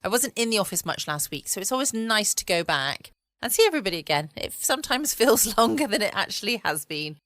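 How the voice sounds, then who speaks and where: A woman with an English accent hosting a podcast, chatting casually in a relaxed, rambling bedtime style. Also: medium pitch, brisk, no echo, studio quality. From United Kingdom. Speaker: podcast host. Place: at home, dry close recording.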